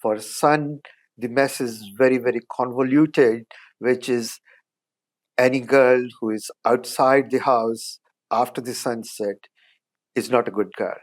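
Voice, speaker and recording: Indian-accented man, measured professor. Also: mid-range voice, steady tone. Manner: measured, explanatory, frequent "uh" pauses. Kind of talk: interview answers.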